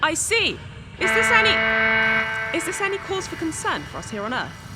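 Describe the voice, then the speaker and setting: A woman's voice: high voice, wildly swooping pitch, normal speed, over loud music. A young woman playing an earnest field reporter, asking formal questions in a scripted news drama. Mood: inquiring. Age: young adult. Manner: inquiring, formal.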